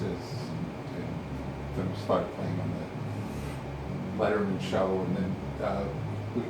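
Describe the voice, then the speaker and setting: An older man, a horn player guest, telling anecdotes in an animated way. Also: low-pitched. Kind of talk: casual chat. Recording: very echoey room, distant mic, background noise.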